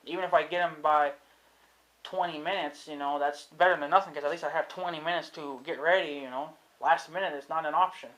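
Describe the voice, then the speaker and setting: A man's voice: fairly high pitch, steady tone, brisk, fairly bright tone, low-quality recording. A young man, thinking aloud in a casual vlog, unsure of himself and swearing freely. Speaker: vlogger. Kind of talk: rambling casual talk to camera.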